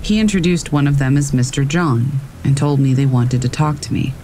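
A man calmly reading scary stories aloud as a narrator, in a steady storytelling manner. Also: medium pitch, normal speed, a little hiss or hum. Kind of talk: scripted narration of reader submissions. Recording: faint rain in the background. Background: rain.

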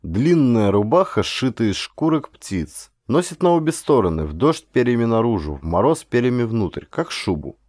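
A man reading scripted adventure narration in an expressive, dramatized storytelling manner. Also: mid-range voice, normal speed, hardly any pauses, dry recording, clean studio sound. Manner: expressive, acts out dialogue. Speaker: audiobook narrator, actor.

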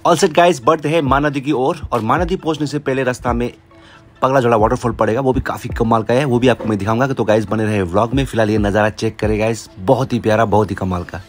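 Young male moto-vlogger, mid-pitched, chatty tour-guide read, outdoor camera sound, music bed under. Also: steady tone, quick pace. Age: young adult. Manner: chatty tour-guide tone, keeps calling out to viewers. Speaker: motorcycle travel vlogger. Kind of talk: casual travel vlog narration. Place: outdoors on hill roads and at a roadside cafe. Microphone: helmet camera and handheld selfie camera. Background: background music and passing vehicles.

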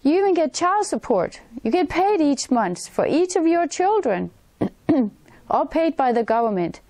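A woman in her sixties from Denmark with a Danish accent, hosting her own channel and talking earnestly straight to camera in a plain, slightly halting way. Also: high voice, big pitch swings, warm tone, dry recording. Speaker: channel host. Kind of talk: direct-to-camera talk. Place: indoors, in front of a wintry forest backdrop. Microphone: camera framing head and shoulders.

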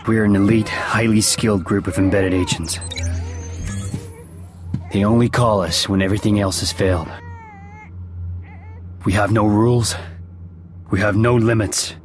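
A man playing a low-voiced, solemn elite agent. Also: steady tone, normal speed, long pauses, music in the background, close to an everyday voice. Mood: grave, resolute. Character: grave elite sleeper agent narrating a briefing. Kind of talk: dramatic scripted game cinematic narration.

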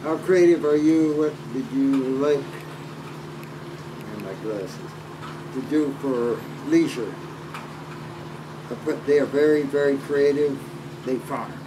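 A man's voice: medium pitch, slow pace, pauses often. An older man with an American accent chatting casually in a matter-of-fact way. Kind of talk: casual chat. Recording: off-mic, distant, with steady ambient noise.

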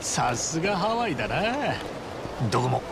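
Chummy, rough-talking guy, lively pitch. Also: measured pace, very noisy, full character voice. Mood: warm, friendly. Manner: casual, rough street-style slang, chummy. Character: friendly, rough-spoken, big-hearted grown man adventurer. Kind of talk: Japanese video game cutscene acting.

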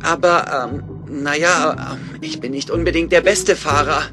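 An actor voicing a clumsy, excitable animal scientist in a children's cartoon audio drama, explaining things hesitantly. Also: male voice, fairly high pitch, unhurried, music in the background, close to an everyday voice. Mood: unsure.

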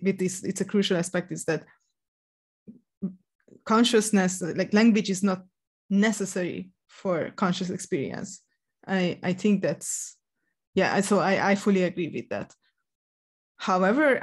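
A woman in a headset on a video call, thinking aloud about research with many fillers. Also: medium pitch. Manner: thinking aloud, many "you know" and "I don't know". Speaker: podcast co-host. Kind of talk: academic discussion over a video call.

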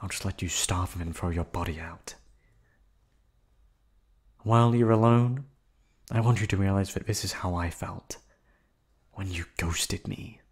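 A man voicing a menacing yandere captor in an ASMR roleplay, with a put-on accent and a calm, coldly threatening delivery. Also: low-pitched, unhurried, pauses often, breathy edge, heavily acted. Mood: cold menace. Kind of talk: ASMR roleplay audio drama.

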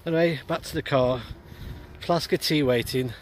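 A man in his forties talking casually and haltingly in an outdoor vlog. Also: a little high. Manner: halting, hesitant delivery. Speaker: amateur radio vlogger. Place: outdoors on an open hillside. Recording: noisy outdoor ambience.

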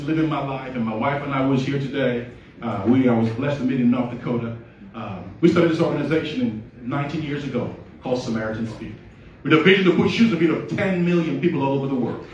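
A man's voice: mid-range voice, fast, muffled recording, slight background noise. A middle-aged man with a Nigerian accent, telling his story in an earnest, inspirational speech on a handheld mic at an awards event. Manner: storytelling, earnest, rising to emphatic peaks. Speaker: award honoree giving a speech. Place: large hall at an awards event, red carpet. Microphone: handheld mic, filmed by a phone from the audience. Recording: echoey hall, far from the phone.

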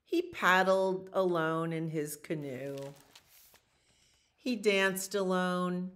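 A woman in her sixties reads a picture book aloud for children, pausing for casual asides that explain the pictures in an unhurried manner. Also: on the low side, steady tone, fairly bright tone. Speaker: children's storytime reader. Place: small library children's room.

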